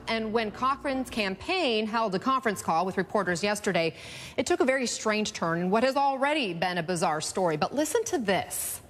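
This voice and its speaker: A woman's voice: mid-range voice, fairly bright tone, long reverb tail. A woman presenting broadcast news as an anchor.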